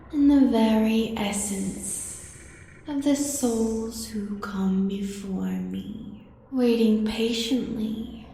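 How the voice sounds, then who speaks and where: Woman as a slow, cavernous-voiced soul-devouring fiend. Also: medium pitch, mellow. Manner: slow, savoring, deliberate delivery with long pauses. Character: ancient soul-devouring dark elven female fiend, cruel, gloating, hungry. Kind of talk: monster audio roleplay monologue. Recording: cavernous echo and long reverb, faint noise.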